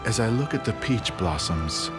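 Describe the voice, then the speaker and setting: A man voicing a noble, weary strategist and tender husband in a video game, calm, formal and deliberate. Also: fairly low pitch, over loud music, lightly acted. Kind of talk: scripted video game cutscene acting.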